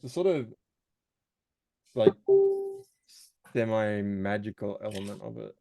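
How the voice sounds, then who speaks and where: Creaky low-voiced man, tentative talker. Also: slow pace, dark tone, dry recording, rough recording. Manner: tentative, hedging, searching for words. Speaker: voice actor, interview guest. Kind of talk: casual conversational interview.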